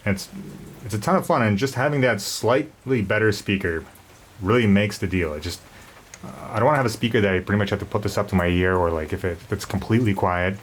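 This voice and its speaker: Guy with a lowish voice reviewing gear off the cuff in a garage, repeats himself, some background noise. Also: quick pace. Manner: rambling, repeats words. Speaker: product reviewer. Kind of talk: casual unscripted product review. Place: garage during a thunderstorm. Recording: noisy garage with storm ambience.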